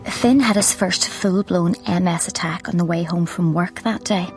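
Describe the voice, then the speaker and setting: An Irish actress playing a concerned, insistent newspaper colleague in a radio drama. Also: female voice, on the low side, normal speed, soft background music, natural voice. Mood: reflective narration. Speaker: actor in a radio play. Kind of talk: naturalistic radio drama acting.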